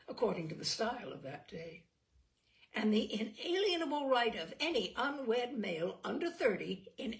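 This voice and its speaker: Female story reader: animated intonation, unhurried deliberate phrasing, creaky edge, literary narration. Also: a little high.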